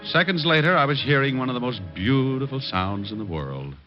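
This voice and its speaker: An actor playing a cocky, wisecracking private detective in an old-time radio drama, both narrating and in dialogue. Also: male voice, mid-range voice, lively intonation, brisk, natural voice. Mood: wry narrating. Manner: wry, glib. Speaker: lead and narrator. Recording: muffled old radio recording with music.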